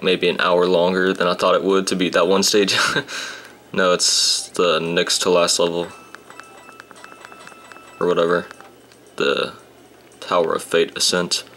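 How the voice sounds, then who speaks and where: A young man commentating a handheld game, casual and grumbling, his voice a bit rough today. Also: sits low, pushed and bright. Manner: grumbling, sarcastic about the game. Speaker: gameplay commentator. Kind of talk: casual let's-play commentary. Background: game music.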